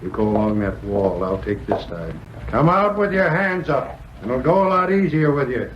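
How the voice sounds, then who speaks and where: An actor playing a cold, scheming crook who runs a crooked fur business, curt and commanding, in an old-time radio adventure style. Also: male voice, medium pitch, big pitch swings, normal speed, close to an everyday voice. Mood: threatening. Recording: noisy, dull vintage radio drama recording.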